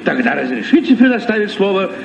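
An actor playing a boastful, pompous comic hunter with self-important delivery in a vintage radio play. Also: male voice, high-pitched, very animated, fast, creaky, exaggerated voice. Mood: pompous, self-satisfied. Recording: muffled, noisy old radio, distant mic.

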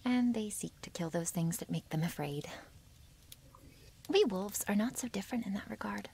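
A woman voicing a wary, possessive young female werewolf in an intimate ASMR roleplay, breathy and half-whispered, with halting, formal phrasing. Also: mid-range voice, expressive, a little mellow, full character voice. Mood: uneasy, guarded. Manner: halting, stiffly formal phrasing without contractions.

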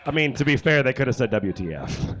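A man's voice: medium pitch, very animated, fast, a bit bright, a little hiss or hum. A young man with a loose, joking manner introducing the next act on a small stage, speaking into a handheld mic. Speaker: host. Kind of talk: live comedy show introduction. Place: small stage with curtains and brick wall.

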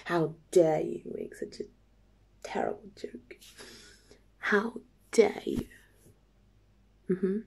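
A woman playing a playful, nervous young date in an intimate ASMR roleplay, soft and halting, often near a whisper. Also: fairly low pitch, creaky, breathy edge, exaggerated voice. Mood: mock-offended, teasing. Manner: halting, giggly, teasing.

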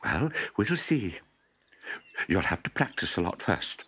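A man's voice: sits low, very animated, a little slow, fairly bright tone, slightly rough, full character voice. A man voicing a professor's practical, fond wife in a storybook, fussing and encouraging.

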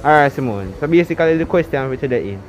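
High-voiced young Jamaican man hosting street interviews. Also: lively intonation, very fast. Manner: chatty, repeats hype catchphrases. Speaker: street interviewer and channel host. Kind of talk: casual vox-pop interviewing. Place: outdoors on town streets and in shops. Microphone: handheld phone held near mouth. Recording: muffled lo-fi with loud background music.

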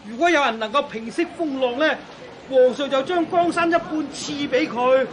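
An actor playing an obedient, officious county magistrate, declaiming formally in a Hong Kong Cantonese radio drama. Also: male voice, very high voice, moderate pace. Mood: proclaiming. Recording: radio drama with noisy crowd ambience.

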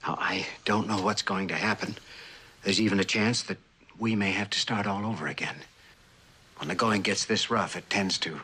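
An actor playing a troubled, remorseful husband in a mid-century television drama, speaking in an earnest, humbled way. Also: male voice, deep voice, sharp tone, breathy edge, exaggerated voice.

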